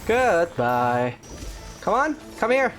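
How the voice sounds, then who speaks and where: A woman's voice: lowish voice, big pitch swings, slow pace. A woman hosting a gaming video with casual, informal commentary and wry asides. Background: game music.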